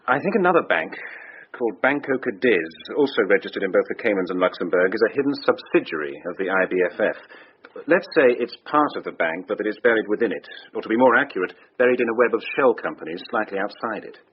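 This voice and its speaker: An actor playing a wry, eager British financial expert in a radio drama, explaining things with colloquial dry humour. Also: male voice, fairly low pitch, lively intonation, fast, lightly acted. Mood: keen, wry. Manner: colloquial, drily humorous explaining. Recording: dull, hissy radio drama recording.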